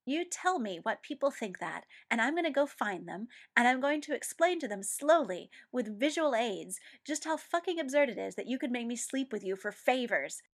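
A woman's voice: high-pitched, sing-song, normal speed, hardly any pauses, a bit bright, voice pushed up, heavily acted. A woman voicing a brash, hot-tempered young man in a read-aloud story, snapping with indignant outbursts. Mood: furious.

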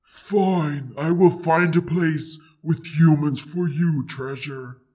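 Slow, haughty, mellow-toned dragon. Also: mid-range voice, fairly even intonation, breathy edge. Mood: grudging. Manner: imperious, haughty, stilted formal speech. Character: huge proud possessive dragon, easily offended, formal.

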